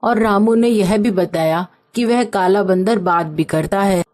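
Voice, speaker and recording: A woman's voice: medium pitch, measured pace, darkish tone. A woman reading a scripted story as its narrator.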